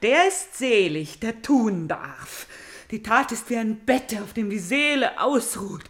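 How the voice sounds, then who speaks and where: A tormented princess bent on vengeance in a classical radio play. Her womanly voice sits fairly high and moves theatrically from hushed, breathy confession to fierce, fevered outcry. She sounds obsessive and full of grief. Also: lively intonation, slow pace, heavily acted. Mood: ecstatic. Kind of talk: German classical radio drama acting.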